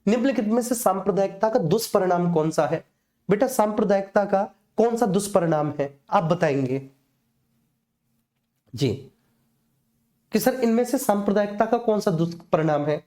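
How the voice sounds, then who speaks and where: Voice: medium pitch, expressive, moderate pace, pauses often, warm tone. A teacher giving an online exam-prep lesson, explaining to students, often repeating phrases and checking that they follow.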